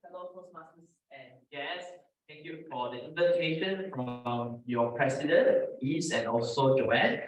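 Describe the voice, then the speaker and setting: A man's voice: medium pitch, fairly bright tone. Man introducing himself in an echoey room. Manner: friendly, grateful. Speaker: guest member. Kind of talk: self-introduction. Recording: echoey room, far from the mic.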